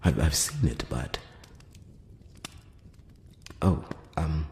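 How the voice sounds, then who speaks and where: A man playing a courteous, curious elf prince in an intimate ASMR fantasy roleplay, speaking softly and formally with some hesitation, close to the mic. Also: extremely low pitch, very animated, drawn-out words, exaggerated voice. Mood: sheepish, confiding. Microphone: close-up microphone. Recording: roomy long reverb, background hiss.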